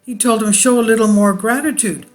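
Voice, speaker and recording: An online news commentator reading articles aloud with off-the-cuff remarks, in a halting delivery that stumbles over the text. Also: medium pitch, fairly even intonation, faint music behind.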